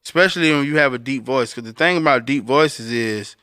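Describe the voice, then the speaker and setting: A man with a deep voice co-hosting a podcast as a recording engineer, explaining things casually and speaking directly to the listeners. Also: a little fast, pushed and bright, clear, resonant tone, no echo, clean studio sound. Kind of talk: casual instructional talk.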